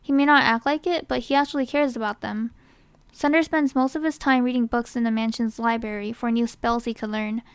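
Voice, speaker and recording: Female casting-call host: smooth high voice, scripted explainer delivery, dry close sound. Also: fairly even intonation, brisk, no echo. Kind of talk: scripted narration.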